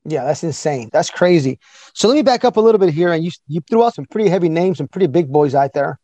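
Chatty forties male host, upbeat and quick, dry close sound. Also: on the high side, animated, warm tone, no room sound. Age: 40s. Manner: enthusiastic, chatty, quick-talking. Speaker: podcast host. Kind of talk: casual interview chat.

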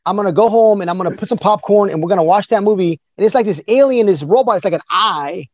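A man's voice: high-pitched, no room sound, dull audio. A man in his forties hosting an interview, chatty and enthusiastic in a casual conversation. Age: 40s. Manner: enthusiastic, chatty, quick-talking. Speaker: podcast host.

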